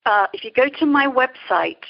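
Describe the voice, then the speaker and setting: A woman's voice: high-pitched, animated, normal speed, gravelly. A middle-aged woman speaking conversationally as a guest in a podcast interview, over a phone-like remote line. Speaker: interview guest, creativity coach.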